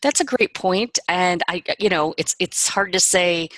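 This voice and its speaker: A woman hosting a casual podcast discussion, chatty, with quick asides and frequent laughs. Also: lowish voice, a bit bright, dry recording. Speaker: podcast host.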